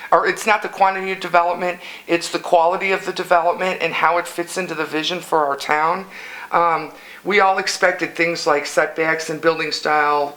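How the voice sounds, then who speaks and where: An older woman with an Illinois accent answers interview questions in a bright, clear voice. She speaks earnestly as a local council candidate and often stops to restart a sentence. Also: fairly low pitch, low-quality recording.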